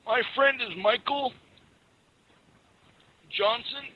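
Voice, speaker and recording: A man's voice: extremely high pitch, takes it slowly. A man calling customer service to complain, talking in an earnest, conversational way. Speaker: caller making a complaint. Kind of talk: prank phone call in earnest conversational tone. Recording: phone call with faint background noise.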